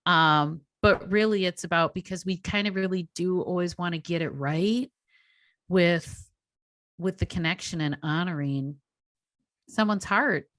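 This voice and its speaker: A woman with a fairly low voice reflects aloud in a thoughtful, rambling manner, circling back through her own sentences. Her voice sounds dry and close, with no room echo. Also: a little slow, a bit bright. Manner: thoughtful, rambling, many fillers like "you know". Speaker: teacher and podcast co-host. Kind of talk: reflective casual chat.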